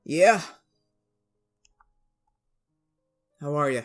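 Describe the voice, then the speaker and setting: A woman playing a weary, earnest defender of a condemned friend in a 1940s-style radio drama, halting and subdued. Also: low voice, expressive, faint music behind. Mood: subdued, defeated.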